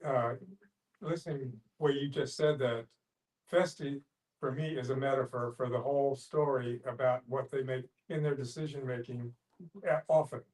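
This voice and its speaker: A man reflecting aloud in a casual group discussion, haltingly and with some repetition. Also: medium pitch, steady tone, a little mellow. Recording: voice picked up from a distance.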